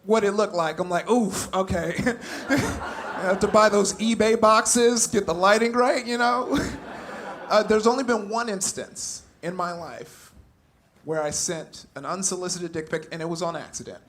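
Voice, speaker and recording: A young man from California doing a stand-up comedy set on stage, animated, laughing through his punchlines and acting out shouted quotes. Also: high voice, normal speed, slight background noise. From Compton, California. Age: young adult. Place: comedy club stage with a live audience.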